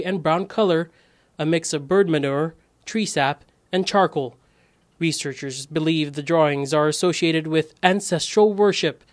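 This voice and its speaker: A young man giving scripted news narration in a measured, clear reporting delivery. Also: fairly high pitch. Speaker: news correspondent.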